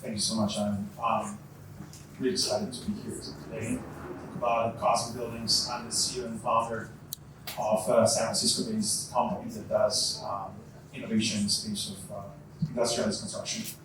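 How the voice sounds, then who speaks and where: Halting male panelist from Croatia. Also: on the low side, level tone. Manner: halting, many fillers. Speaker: startup founder on panel. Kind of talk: panel self-introduction. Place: event venue. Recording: echoey room, far from mic, noise.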